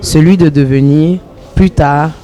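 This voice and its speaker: Measured woman comedian with a mellow voice. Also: low-pitched. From Republic of the Congo. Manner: earnest, measured, speaks about ambition with conviction. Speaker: actress and comedian. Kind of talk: interview answers.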